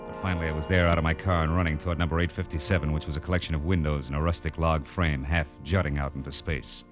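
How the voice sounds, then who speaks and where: An actor playing a tough American private detective in a 1940s radio drama, terse and wisecracking. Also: male voice, rumbling low, steady tone, quick pace, over music. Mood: tense, hushed. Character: tough, hard-boiled male private detective narrating his case.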